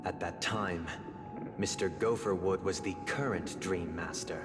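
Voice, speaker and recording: An actor playing a solemn noble man who heads a family, telling stories in a measured, formal way with soft, hushed phrases. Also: low-pitched. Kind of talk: game dialogue voice acting. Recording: reverberant with game music.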